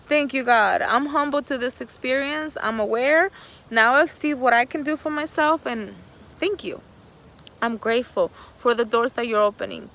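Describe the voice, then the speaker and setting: High-voiced woman talking outdoors. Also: a bit bright. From El Salvador. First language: Spanish. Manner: earnest, rambling, swears freely, laughs often. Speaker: vlogger. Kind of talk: casual motivational monologue. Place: outdoors in nature.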